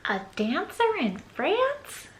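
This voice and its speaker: A woman's voice: very high voice, wildly swooping pitch, takes it slowly, echoey room, a little hiss or hum, voice pushed up, exaggerated voice, rough recording. A woman voicing young, curious storybook children with eager, questioning speech. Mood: curious, excited. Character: young twin children, boy and girl, scared and curious.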